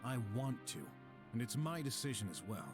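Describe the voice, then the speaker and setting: A man plays a kind-hearted young detective in a video game. He is earnest and insistent, with a lively, swinging pitch. Music plays clearly behind him. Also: normal speed, close to an everyday voice. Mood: determined.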